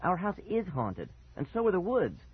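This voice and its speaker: A man voicing an excitable, easily exasperated young boy in a storybook reading, on narrow, tinny audio. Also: a little high, normal speed, breathy edge, pitched above the performer's own voice. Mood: insistent, worked up.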